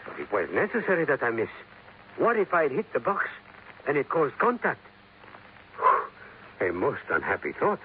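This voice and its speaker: A man's voice: mid-range voice, wildly swooping pitch, moderate pace, long pauses. A man playing an earnest, practical police captain with a Spanish accent, speaking formally in 1950s radio drama style. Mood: relieved. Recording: narrow-band, noisy old radio recording.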